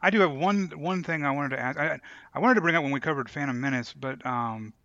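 A man's voice: a little high, fast, bright, forceful tone, dry recording, lo-fi sound. A man co-hosting a podcast in casual fan discussion, thinking aloud tentatively as he works through his points. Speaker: podcast host.

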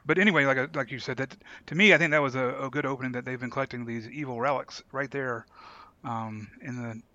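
A man's voice: mid-range voice, fairly even intonation, quick pace, pushed and bright, no room sound, muffled recording. A man co-hosting a podcast, chatting casually with the others. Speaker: podcast co-host. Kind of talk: casual group chat.

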